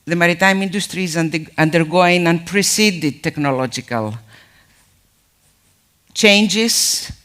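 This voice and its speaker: Slow, measured man giving a welcome speech. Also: a little high, fairly bright tone, clear, resonant tone. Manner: measured, hesitant with many fillers. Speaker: conference speaker. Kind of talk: formal welcome address.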